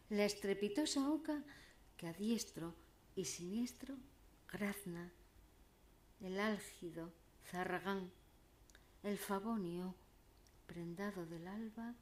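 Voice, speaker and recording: Slow, theatrical woman reciting poetry. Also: fairly low pitch. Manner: solemn, theatrical, deliberate phrasing with pauses. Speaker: poet reciting own poem. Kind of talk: dramatic poetry declamation.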